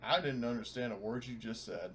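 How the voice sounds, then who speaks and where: A man's voice: medium pitch, brisk. A man bantering casually and sarcastically in character as a player in a tabletop roleplaying game.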